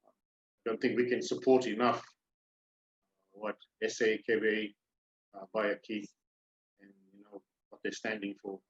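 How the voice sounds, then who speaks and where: A man speaks as a guest over a video call in an earnest, halting way. His voice is fairly low. The call audio is dull and lo-fi.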